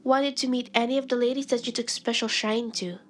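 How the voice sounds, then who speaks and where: An actress playing a streetwise, deadpan, world-weary lounge regular in a comedic audio drama. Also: female voice, on the high side, brisk, close to an everyday voice. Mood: cagey, dry. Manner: deadpan, sassy.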